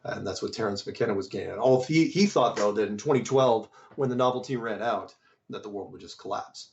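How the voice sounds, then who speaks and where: A man hosting a show who reads and answers listener mail in a casual, rambling way, his voice slightly hoarse and strained, asking many rhetorical questions. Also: fairly low pitch, fairly quick, muffled recording. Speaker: podcast host reading listener mail.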